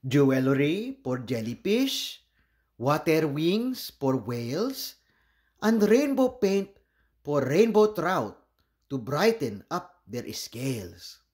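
A proud, courteous seahorse shopkeeper from a picture book, voiced by a man. The sales patter is slow and showy, with very animated pitch. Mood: welcoming, proud.

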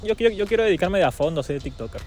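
Fast-talking young man pleading. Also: sits high, expressive, very noisy. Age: young adult. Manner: pleading, insistent. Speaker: prank caller. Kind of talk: phone prank call. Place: outdoors on a city park lawn. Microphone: handheld phone near the mouth.

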